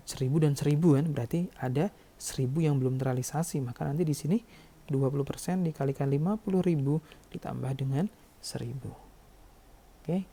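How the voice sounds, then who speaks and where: Very dark-voiced man explaining, gravelly edge. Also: a little high. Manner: step-by-step, frequently checking with "ya". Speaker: accounting lecturer. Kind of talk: tutorial explanation of worked calculations.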